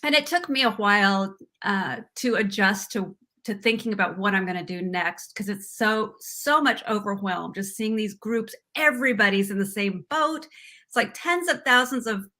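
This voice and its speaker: A woman's voice: medium pitch, moderate pace, sharp tone. A middle-aged woman, a former teacher, chatting casually as a guest on a live video call, telling her story with many fillers. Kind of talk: casual interview chat. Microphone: video call mic.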